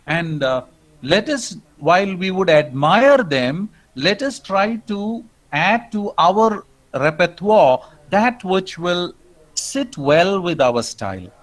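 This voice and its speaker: A middle-aged Indian man lectures in a reflective way, his voice sitting a little higher than most men's. He speaks English with an Indian accent and often stops on "um" and "uh" as he gathers his thoughts. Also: sing-song, rough recording. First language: not English. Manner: reflective, with frequent "um" and "uh" pauses. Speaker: professor.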